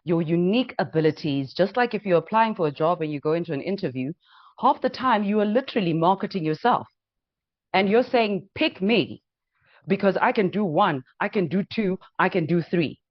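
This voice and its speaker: Brief: woman, low-pitched branding coach, punchy motivational delivery, dry and slightly muffled sound. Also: dry recording. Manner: emphatic, builds points in lists and rhetorical questions. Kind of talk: motivational talk.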